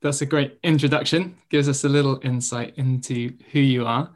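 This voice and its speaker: A man hosting a podcast, reading a scripted introduction and then asking interview questions in a measured, polite way. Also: medium pitch, fairly even intonation. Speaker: podcast host.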